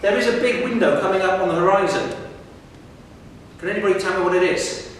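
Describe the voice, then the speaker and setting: A middle-aged professor gives a lively public lecture, putting questions to the students in front of him. His voice sits fairly high for a man. He is heard from a distance in a large hall with long, booming reverb. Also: fairly quick, slight background noise. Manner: lively, engages the audience with questions. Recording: echoey hall recorded from far off.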